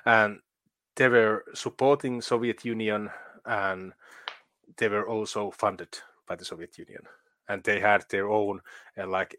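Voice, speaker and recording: Halting man, low voice. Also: a bit bright, no echo. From Finland. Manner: halting, many fillers and restarts. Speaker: guest. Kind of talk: political interview.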